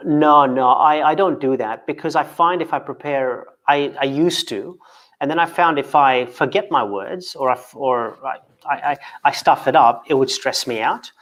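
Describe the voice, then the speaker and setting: A man's voice: medium pitch, normal speed, a bit bright. A man who grew up in Kenya and speaks English as a second language, a guest on a video call chat, often repeating words and restarting with a stutter. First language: not English. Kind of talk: casual video call interview.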